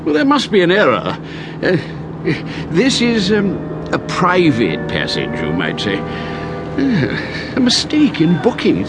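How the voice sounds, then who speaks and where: An actor playing a flustered older British gentleman in a radio drama, hesitant and repeating himself. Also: high voice, huge pitch swings, slow, very noisy, heavily acted. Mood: flustered, insistent. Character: fussy, flustered elderly British gentleman passenger.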